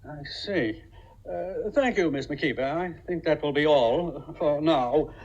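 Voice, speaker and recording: An actor playing a fussy, middle-aged senior partner in a 1950s radio comedy, formal and hesitant, with a husky voice. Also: male voice, fairly high pitch, unhurried, a little mellow, highs cut off. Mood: polite, flustered. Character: fussy, pompous senior male business partner, easily flustered. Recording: vintage 1950 radio broadcast.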